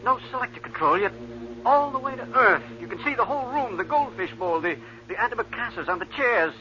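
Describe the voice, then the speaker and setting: An excitable male space scientist thinks aloud eagerly in halting bursts, full of wonder. The voice is high for a man and intensely bright, on a muffled old radio drama recording with faint noise. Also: heavily acted. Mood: baffled, excited.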